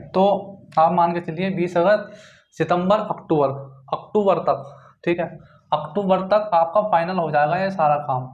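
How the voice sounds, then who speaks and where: A man informally explaining exam news to viewers, repeating points and often adding check-in tags. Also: sits high. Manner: repetitive, punctuated with check-in tags. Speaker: exam-news presenter for job aspirants. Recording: dull lo-fi, roomy echo.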